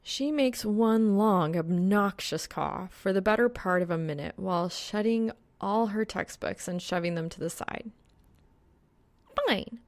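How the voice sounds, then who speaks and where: A woman's voice: medium pitch, normal speed, few pauses, no room sound. A woman narrating a scripted story as an audiobook, in a wry, informal manner.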